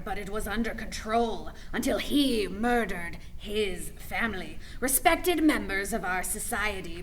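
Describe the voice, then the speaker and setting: A woman's voice: mid-range voice, a bit bright. A woman playing a fierce, accusing alien loyalist in a radio play, haughty, combative and formal. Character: fierce, accusing female alien loyalist guarding her queen. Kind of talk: full-cast radio play acting.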